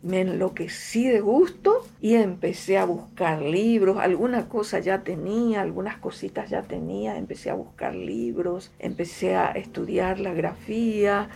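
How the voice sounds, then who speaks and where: A middle-aged Paraguayan woman writer, a native Guaraní speaker, answering interview questions in an unhurried, reflective way with frequent fillers and repetitions. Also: mid-range voice, big pitch swings, gravelly, warm tone, soft background music. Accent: Paraguayan Spanish.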